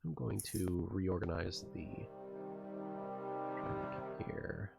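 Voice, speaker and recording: A man acting as game master, narrating a tabletop game casually in a halting way. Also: low-pitched, gravelly. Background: loud music.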